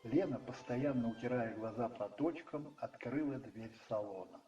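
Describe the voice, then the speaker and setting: A man narrating a radio play dramatically from a script, in measured phrases with pauses. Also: medium pitch, mellow, dull audio. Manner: measured, pausing between phrases. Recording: roomy echo, distant, faint music behind.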